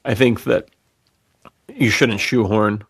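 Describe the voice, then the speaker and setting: Casual man with creaky, dry-recorded voice. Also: lowish voice, takes it slowly, a bit bright, dry recording. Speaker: podcast co-host. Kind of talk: casual podcast chat.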